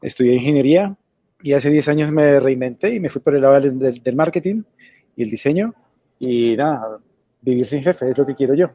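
A man from Colombia, a guest in a casual interview, telling his story in a relaxed way with casual swearing. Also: mid-range voice, brisk, no echo. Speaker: guest, web designer.

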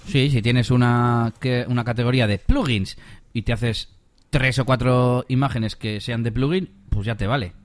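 A man, co-hosting a casual tech podcast, explaining things in a relaxed way with rhetorical questions. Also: mid-range voice, moderate pace, no room sound. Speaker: podcast co-host.

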